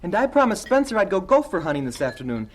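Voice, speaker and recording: A woman's voice: medium pitch, very animated, fast talker. A woman playing a brash, practical young farm boy in a radio drama.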